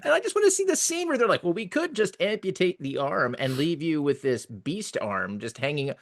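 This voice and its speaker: A man's voice: on the high side, fairly quick. A man on a podcast panel giving casual fan commentary in a slangy, playful way. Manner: animated, playfully incredulous, slangy.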